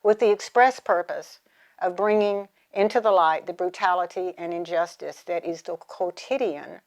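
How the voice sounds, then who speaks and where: A woman's voice: on the low side, normal speed. An American woman in her seventies or older, from the South, giving reflective personal testimony in articulate, formal wording. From southern United States. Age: 70s or older.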